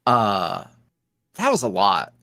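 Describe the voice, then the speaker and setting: A man's voice: lowish voice, huge pitch swings, slow pace, sharp tone, dry recording, studio quality. A man being interviewed as a guest, chatting casually and telling rambling stories with frequent laughs. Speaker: ASMR roleplay creator, podcast guest.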